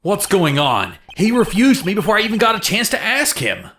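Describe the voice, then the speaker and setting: A man's voice: sits high, wildly swooping pitch, normal speed, fairly bright tone, a little hiss or hum, heavily acted. A man voicing an earnest young defense lawyer in a video game fan dub, hesitant, with dry, exasperated asides. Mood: indignant, pleading.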